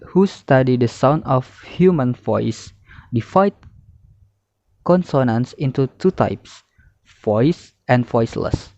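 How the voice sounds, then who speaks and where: A man's voice: medium pitch, a little mellow, dry recording. A young man reading a lesson presentation aloud as a student presenter, in a methodical way. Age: young adult. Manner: methodical, listing term then definition. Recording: dry, slightly dull lo-fi recording.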